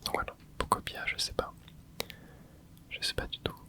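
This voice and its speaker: A young adult ASMR host whispering casual, rambling chat while playing a video game, with many hesitant fillers. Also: moderate pace. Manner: soft unvoiced whisper throughout. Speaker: ASMR gaming channel host. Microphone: close mic.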